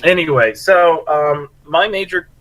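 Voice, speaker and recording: A man's voice: a little high, a little slow, a bit bright, poor audio. A man co-hosting a casual group discussion of a cartoon episode, speaking in an opinionated, emphatic way. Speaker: podcast co-host.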